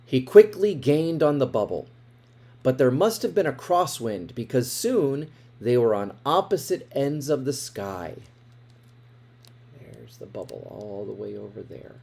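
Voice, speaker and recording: A man reads a picture book aloud with lots of expression and big swings in pitch. His voice is soft-edged and a bit mellow, with some huskiness, and he takes his time over the story, leaning on words for drama. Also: unhurried, creaky. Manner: expressive, dramatic emphasis with asides about the pictures. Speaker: storybook reader. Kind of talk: animated read-aloud of a children's picture book.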